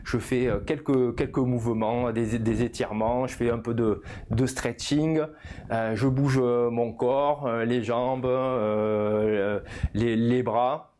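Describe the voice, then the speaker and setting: Casting: male coach, 50s, mid-pitched voice, informal didactic delivery with frequent verbal tags. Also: fairly even intonation, slow pace, a little mellow. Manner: didactic, punctuates phrases with "hein" and "voilà". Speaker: personal development coach. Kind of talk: informal video lesson, explanatory.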